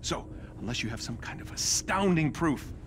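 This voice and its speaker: An actor playing a cocky, sarcastic genius superhero inventor who is bitter and guarded, talking in wisecracks in a video game cinematic. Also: male voice, fairly high pitch, animated, normal speed, background noise, close to an everyday voice. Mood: angry, bitter.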